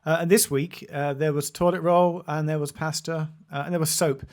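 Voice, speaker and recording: A man's voice: a little high, level tone, normal speed, a little mellow. A British man talking casually and informally as a podcast host, repeating phrases for emphasis. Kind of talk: casual chat.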